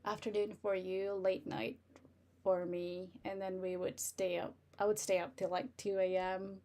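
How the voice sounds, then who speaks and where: A woman's voice: mid-range voice, level tone, a little slow, mellow. Giggly woman chatting on a livestream. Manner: giggly, laughs often while talking. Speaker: livestream host. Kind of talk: casual chat.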